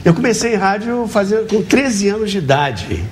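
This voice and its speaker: Older male, Brazilian accent, very lively intonation, emphatic interview storyteller, slightly noisy sound. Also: sits high, moderate pace. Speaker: talk show guest, radio and TV educator. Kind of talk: interview, reminiscing.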